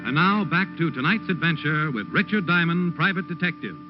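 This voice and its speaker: A man makes a scripted announcement in vintage radio style. His pitch is fairly high, with faint music behind him and a muffled old-broadcast sound. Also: animated, moderate pace. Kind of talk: 1940s-50s radio announcing.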